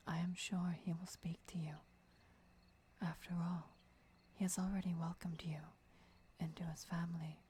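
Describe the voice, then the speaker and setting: A woman playing a devoted, gentle vampire mentor and lover in a breathy, whispered ASMR roleplay, instructing in a soothing way. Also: on the low side, a little hiss or hum.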